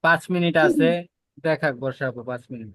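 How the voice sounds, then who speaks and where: Fast-talking Bangladeshi man, dry sound. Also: a little high, a bit bright, no room sound. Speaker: online class moderator. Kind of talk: casual live discussion. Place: online video call.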